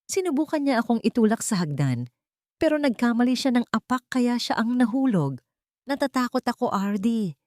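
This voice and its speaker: A woman's voice: fairly high pitch, sing-song, normal speed, a little mellow, no room sound, studio quality, pitched above the performer's own voice. A woman voicing a scheming glamorous woman who feigns tearful, frightened innocence in an audio drama story. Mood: fake tearful fear. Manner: pleading, theatrically fearful.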